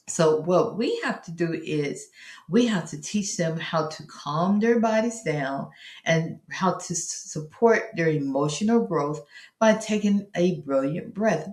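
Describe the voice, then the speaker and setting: A woman's voice: fairly low pitch, darkish tone. A woman hosting a parenting lesson, speaking directly to parents in an encouraging way with emphatic repetition of key phrases. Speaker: host of a parenting tips show. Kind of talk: instructional talk to parents, direct address. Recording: roomy, echoing voice recording.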